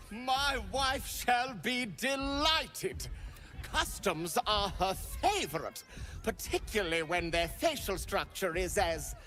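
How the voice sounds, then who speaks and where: A flamboyant merchant from a fantasy game. The voice is high and swoops freely. Every phrase is grand, gushing and stretched out. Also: male voice, sharp tone, full character voice. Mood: effusive delight. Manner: grandiose, gushing, drawn-out delivery. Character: flamboyant theatrical male statue sculptor and merchant. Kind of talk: theatrical game voice acting.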